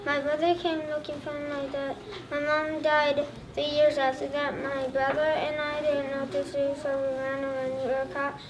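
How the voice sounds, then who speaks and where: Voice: high voice, completely flat delivery, normal speed. A child of about eight or nine reciting a first-person school presentation as a historical figure. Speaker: third grade student presenter. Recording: distant mic, loud room noise.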